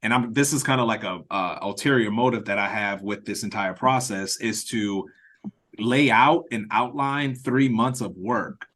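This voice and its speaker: A man's voice: on the low side, moderate pace, fairly bright tone. Informal man thinking aloud on a call. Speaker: writer-director leading the meeting. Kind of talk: casual meeting chat. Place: online video meeting. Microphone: video call.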